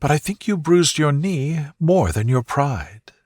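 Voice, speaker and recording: Fond young wife played by a male narrator, softly teasing, lifted lilting tone, unhurried sleep story delivery. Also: fairly high pitch, big pitch swings, no room sound, studio quality, voice pushed up. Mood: gently teasing. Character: affectionate young wife, gently teasing, at ease. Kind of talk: sleep story character dialogue.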